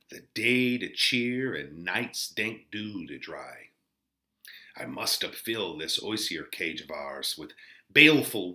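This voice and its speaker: A man as a slow, declamatory Shakespearean friar. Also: deep voice, sharp tone, full character voice. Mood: hushed, contemplative. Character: contemplative friar musing alone on plants, virtue and vice. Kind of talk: dramatic Shakespearean verse reading.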